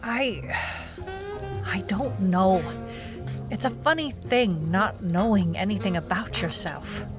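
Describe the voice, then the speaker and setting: An actress playing a terse, suspicious female private eye with no memory, deadpan in a noir audio drama. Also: mid-range voice, takes it slowly, long pauses, close to an everyday voice. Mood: weary, bitter. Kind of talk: noir detective audio drama, narration and dialogue. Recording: music playing behind the voice. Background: music.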